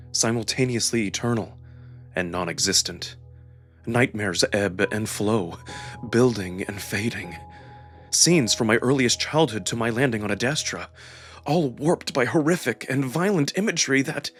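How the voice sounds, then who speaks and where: A man's voice: lowish voice, fairly bright tone. A man playing a feverish, fearful young man, narrating his nightmares in an intense, emotional first-person style. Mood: bleak, dazed.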